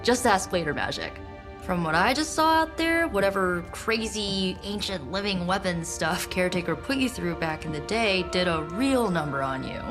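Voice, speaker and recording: An actress with an American accent playing a friendly young sorceress superhero in a video game, casual and teasing. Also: female voice, on the low side, lively intonation, normal speed, music in the background, close to an everyday voice. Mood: wry teasing. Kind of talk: video game cutscene acting.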